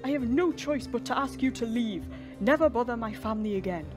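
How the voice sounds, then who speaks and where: A woman playing a stern, protective lord with a forceful, commanding manner in a dramatic audio story. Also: fairly high pitch, animated, a little fast, over music, pitched above the performer's own voice, natural voice. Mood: indignant outburst. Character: stern wronged lord, man, protective and indignant.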